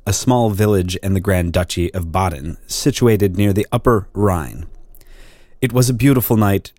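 A man's voice: sits low, a little mellow, no echo, studio quality. A man giving a formal, dramatic scripted narration in a storytelling manner. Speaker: actor reading as narrator. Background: music.

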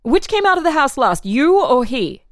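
A woman's voice: sits high, big pitch swings, moderate pace, fairly bright tone, no room sound, studio quality. A woman playing an official interrogator who puts formal, leading questions in a dramatic reading of a transcript. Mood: insistent, formal. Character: probing, insistent official interrogator questioning a murder suspect.

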